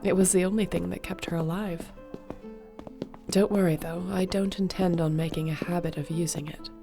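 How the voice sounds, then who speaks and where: A woman voicing a court sorceress in a scripted audio roleplay, speaking gently and conversationally. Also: on the low side, steady tone, normal speed, music in the background. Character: warm, loyal female court sorceress.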